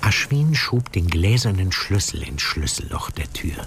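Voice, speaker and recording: A man playing the calm narrator of a fantasy radio drama, reading scripted prose. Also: sits low, unhurried, a little hiss or hum, full character voice. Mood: storytelling. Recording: music behind the voice.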